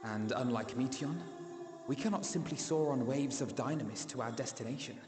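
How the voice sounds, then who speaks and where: A man's voice: mid-range voice, moderate pace, natural voice. A man voicing an analytical adventurer in a fantasy game, speaking formally and articulately. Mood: concerned, analytical. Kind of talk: dramatic fantasy game acting. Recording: echoey hall, music underneath.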